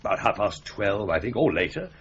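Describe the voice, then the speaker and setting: An actor playing a wry, offhand young clerk at a solicitors' firm in a radio drama. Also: male voice, lowish voice, big pitch swings, a little fast, fairly bright tone, dull audio, slight background noise.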